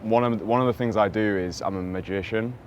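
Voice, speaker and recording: A man conducts a playful street interview, teasing the other person with cheeky jokes. His voice sits on the low side. Faint city street sound runs behind him. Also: fairly even intonation, a little fast, lo-fi sound, a little hiss or hum.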